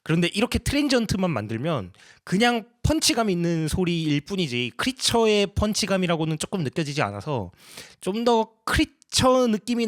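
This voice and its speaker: Man, higher-pitched voice, very varied intonation, polite step-by-step tutorial narration. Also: no echo. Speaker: sound designer presenting a tutorial. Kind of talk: casual explanatory tutorial narration.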